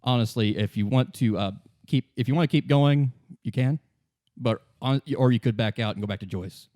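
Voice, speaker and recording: A man narrating casually as game master of a tabletop roleplay, halting and repeating his words as he goes. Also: medium pitch, warm tone, dry recording, clean studio sound.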